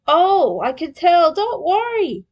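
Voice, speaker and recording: A woman acts out a young male turtle hero from a fan story, wounded but still teasing. The voice is high and emotional. He talks haltingly, with a sentimental streak. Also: sing-song, muffled recording, exaggerated voice. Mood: amused.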